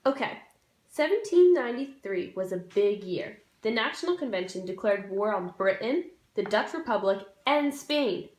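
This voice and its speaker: A woman's voice: medium pitch, lively intonation, moderate pace, darkish tone. A young woman, a student presenter, delivering a scripted history skit in an informal, jokey way. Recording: roomy, echoey room sound.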